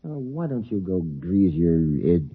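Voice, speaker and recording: An actor playing a rattled, insistent military major, a wry scientist, in a 1950s radio drama. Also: male voice, low voice, big pitch swings, a little slow, breathy edge, faint music behind, exaggerated voice. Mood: sardonic. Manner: hesitant, agitated, wry. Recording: dull, lo-fi vintage radio broadcast.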